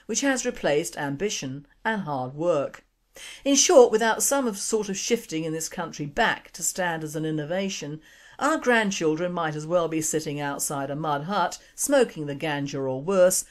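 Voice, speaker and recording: A low-pitched older woman delivers a scripted radio commentary. She speaks emphatically and with indignation, pushing her points with rhetorical questions. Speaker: radio show host.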